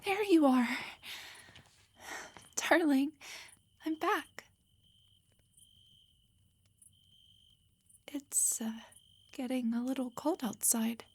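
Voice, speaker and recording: A woman playing a loving, protective elf wife in an intimate roleplay audio, speaking tenderly and reassuringly in a breathy whisper. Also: high voice, lively intonation, takes it slowly, pauses often, dry recording. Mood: tender concern.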